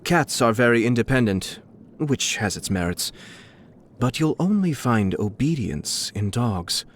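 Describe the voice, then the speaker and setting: A man voicing a refined, suave young nobleman and clan head in a video game, coolly shrewd, with polished, measured, formal diction. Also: mid-range voice, sing-song, slight background noise. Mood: wry, relaxed. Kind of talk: scripted video game character dialogue. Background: background music.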